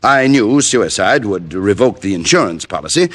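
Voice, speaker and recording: A man's voice: lowish voice, lively intonation, normal speed. A man playing a nervous, desperate embezzler in an old-time radio drama, speaking in a hesitant, polite, earnest way. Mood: earnest, confessional. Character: nervous, desperate male embezzler seeking a detective's help.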